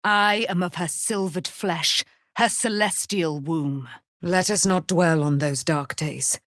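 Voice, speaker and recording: An actress playing a proud, wrathful immortal warrior, daughter of a moon goddess, declaiming in grand archaic speech for a fantasy game. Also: female voice, on the low side, slow pace, a bit bright, no room sound, clean studio sound. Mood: solemn, grave. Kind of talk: theatrical fantasy game voice acting.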